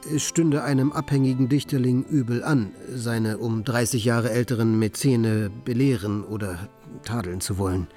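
An actor playing a struggling young poet, ironic and self-questioning, in a radio play. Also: male voice, medium pitch, normal speed, few pauses, mellow, soft background music, lightly acted. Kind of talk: radio play acting with inner monologue.

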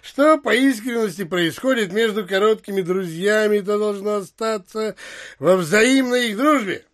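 An actor playing a shrewd, stubborn, grasping male landowner who haggles deliberately in a Russian literary audio drama. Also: high-pitched, close to an everyday voice. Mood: sly, affable.

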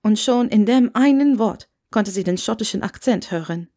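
A woman's voice: medium pitch, lively intonation, normal speed, warm tone. A woman reading a scripted story aloud as an audiobook narrator.

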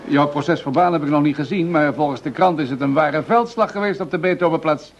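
An actor playing a pragmatic, patronizing senior police commissioner in a radio drama, dismissive and mildly impatient. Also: male voice, fairly high pitch, quick pace. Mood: casual, wry. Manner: dismissive, patronizing, hesitating with "nou". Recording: slightly dull, lo-fi radio recording.

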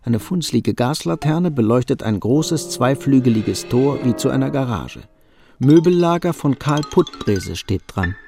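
A man's voice: medium pitch, expressive, unhurried, vocal fry, warm tone, faint music behind. A man narrating a scripted radio drama as an observant storyteller. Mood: storytelling. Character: observant storyteller narrating a young couple's life.

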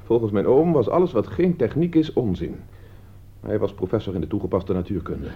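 An actor playing a defensive thirty-year-old idler under questioning, speaking evasively in a radio play. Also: male voice, on the low side, lively intonation, a little fast, slightly rough. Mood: explaining, wry. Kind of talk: Dutch radio drama dialogue. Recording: dull, band-limited old radio recording.

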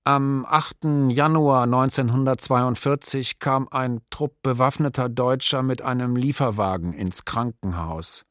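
Radio drama: actor as male doctor witness, measured, mid-pitched, matter-of-fact. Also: a bit bright, no echo, clean studio sound, natural voice. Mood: grave, sober. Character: sober male psychiatric doctor giving trial testimony. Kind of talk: testimony in radio docudrama.

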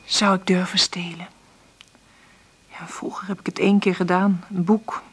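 An actress playing an insecure, tender single mother in a radio play, hesitant and thinking aloud in a breathy voice. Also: on the low side, flat delivery, moderate pace. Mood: confiding. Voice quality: breathy, often half-whispered. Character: insecure, struggling divorced mother, tender and nervous. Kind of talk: naturalistic radio play acting with intimate monologue.

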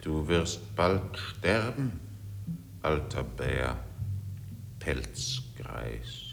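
Ancient mountain spirit, extremely deep, gravelly, coldly superior, radio play, faint noise. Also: male voice, sing-song, very slow, full character voice. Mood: solemn mockery. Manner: solemn, mocking, portentous. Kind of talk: 1950s German radio drama acting.

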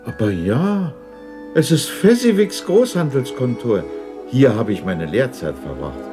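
A man's voice: medium pitch, very animated, a little slow, music in the background. A man acting a remorseful old miser moved by his memories in a 1960s German radio drama. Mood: fond nostalgia. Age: older.

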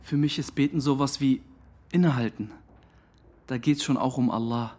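A man's voice: mid-range voice, steady tone, moderate pace, darkish tone, natural voice. An actor playing a slangy, earnest young man who feels hurt and defiant, in a naturalistic radio drama. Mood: earnest, calm. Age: young adult. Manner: slangy, earnest, plain-spoken. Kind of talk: interview monologue in a naturalistic German radio drama.

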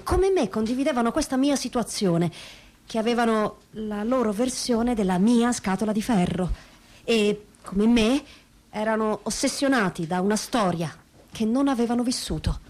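A woman playing a lonely, haunted narrator, reading a literary memoir for the theatre in a measured, deliberate way. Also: fairly high pitch, a little mellow, natural voice. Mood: reflective, haunted.

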